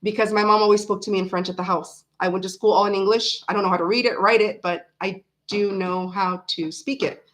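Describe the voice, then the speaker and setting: A woman's voice: mid-range voice, fairly even intonation, a little fast, hardly any pauses, fairly bright tone. A woman in her forties, her accent sitting between American and Canadian, rambles easily through a casual livestream chat. She talks in loose runs with many "ums" and restarts, like someone answering viewers late into a long session. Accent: mixed American and Canadian. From Canada, raised in South Florida. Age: 40s. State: tired. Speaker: livestream host. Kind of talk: casual chat reading live comments.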